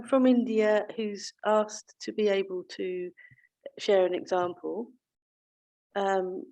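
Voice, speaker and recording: A woman's voice: fairly low pitch, darkish tone. A woman moderating an online breakout session over a video call, talking with many fillers and restarts. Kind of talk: online meeting facilitation.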